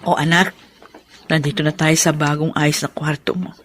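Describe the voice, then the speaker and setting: A woman playing a loving middle-aged mother in a Filipino radio drama, gentle and emotive. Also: sits low, little pitch movement, normal speed, loud music behind the voice, natural voice. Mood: tender, apologetic.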